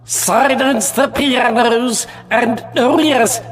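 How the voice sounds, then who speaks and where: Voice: mid-range voice, steady tone, very slow, big-room sound, faint music behind. A performer playing a dim, doddering, sentimental alien uncle with a hesitant, stammering delivery in a comic audio drama. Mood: mournful, nervous. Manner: hesitant, bumbling, stammering ums. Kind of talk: full-cast comic audio drama acting.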